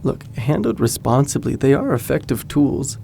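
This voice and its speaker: An actor playing a calm, reassuring young man in a naturalistic audio drama, easygoing and measured. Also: medium pitch, gravelly, a little hiss or hum. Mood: measured, persuasive. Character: laid-back, reassuring young man, loyal childhood friend.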